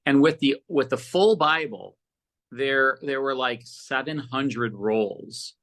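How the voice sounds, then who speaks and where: A man's voice: mid-range voice, takes it slowly. A man speaking casually as an interview guest, a producer telling rambling anecdotes and acting out bits of past conversations. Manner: animated rambling anecdotes, often quoting conversations.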